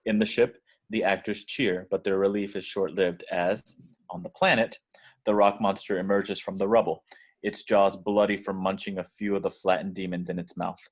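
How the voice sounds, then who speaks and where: A man's voice: deep voice, level tone, normal speed. A man reading out the action descriptions as scripted narration in a table read.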